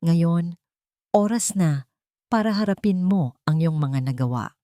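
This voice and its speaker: A man's voice: fairly high pitch, animated, unhurried, mellow, clear, resonant tone, dry recording, clean studio sound. A woman voicing an angry young man who speaks in an accusing, anguished tone, in an audio story. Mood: stern.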